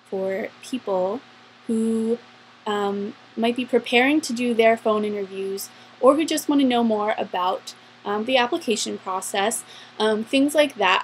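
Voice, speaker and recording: A woman's voice: on the high side, a little hiss or hum, low-quality recording. A young adult woman giving casual, upbeat advice in a chatty vlog style, stretching words for emphasis. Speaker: vlogger giving interview advice.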